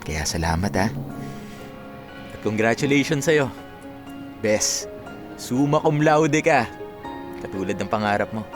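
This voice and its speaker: A man's voice: on the low side, expressive, unhurried, pauses often. An actor playing a humble, devoted young Filipino man, a new graduate, in a radio drama. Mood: grateful. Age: young adult. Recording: music playing under the voice.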